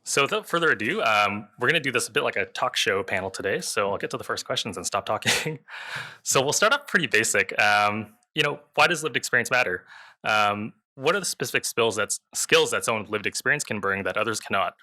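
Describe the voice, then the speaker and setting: Man, lowish pitch, very bright crisp-edged tone, panel moderator, prepared introduction. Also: animated, a little fast.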